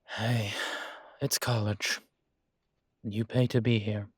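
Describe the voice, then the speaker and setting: Man playing a slow-spoken, low-voiced courteous professor. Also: flat delivery, slightly rough, dry recording. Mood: dry, matter-of-fact. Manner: measured, formal, hesitant politeness. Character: composed, courteous male college professor, dryly wry. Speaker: voice actor in an audio roleplay. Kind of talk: intimate scripted one-sided roleplay dialogue.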